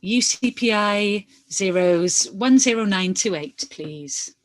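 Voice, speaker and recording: A woman reading the part of an inquiry lawyer, putting formal questions in a measured, methodical way. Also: medium pitch, lively intonation. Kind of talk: verbatim reading of hearing questions.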